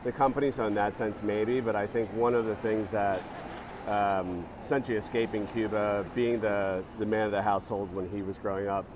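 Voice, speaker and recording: A man's voice: lowish voice, moderate pace. Man talking about his company, with faint street noise. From born in Panama, raised in New Jersey. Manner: relaxed, thoughtful, frequent ums and uhs. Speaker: startup founder, guest. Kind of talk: casual interview answers.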